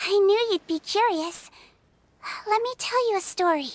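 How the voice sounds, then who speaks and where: A woman voicing a small, gentle, non-human doctor in a game cutscene, who talks in a soft, sweet and earnest way. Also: extremely high pitch, normal speed. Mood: storytelling. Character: small gentle female Melusine doctor, kindly and calm. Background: game music.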